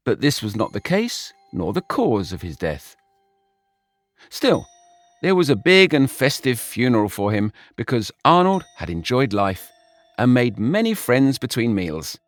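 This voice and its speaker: Wry man narrating a comic fable. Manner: wry, dry comic storytelling with wide swings in pitch. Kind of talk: storybook narration.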